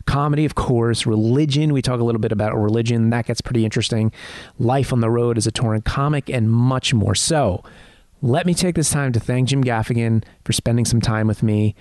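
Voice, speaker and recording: A man in his thirties hosting a podcast in a friendly, conversational way, with small hesitations. His voice sits at a middle pitch. The recording is close and dry. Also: a little fast, darkish tone, no room sound. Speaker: podcast host and interviewer. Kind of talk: podcast intro and interview chat.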